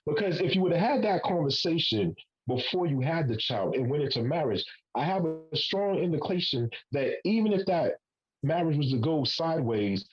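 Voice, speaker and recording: A man's voice: a little high, highs cut off. A man in his forties hosting a podcast panel, making his point emphatically and swearing casually. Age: 40s. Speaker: podcast host.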